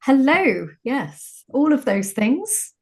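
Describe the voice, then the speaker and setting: A woman's voice: sits high, sing-song, takes it slowly. A woman speaking as a podcast guest, telling anecdotes and examples in a conversational, reflective way. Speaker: podcast guest, games writer.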